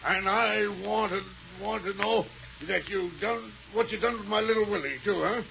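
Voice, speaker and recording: An indignant, simple-hearted rocket-ship sidekick with a creaky, bright voice. He protests in stammering, folksy bad grammar, in old radio-serial style. The recording is dull and hissy, from the 1930s. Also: high voice, normal speed, exaggerated voice. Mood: threatening, worried.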